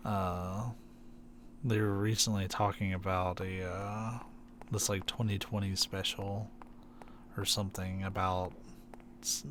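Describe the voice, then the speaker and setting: A man's voice: on the low side, slight background noise. A man from Kentucky chatting casually while playing a game on stream, rambling with lots of fillers and restarts. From Kentucky, USA.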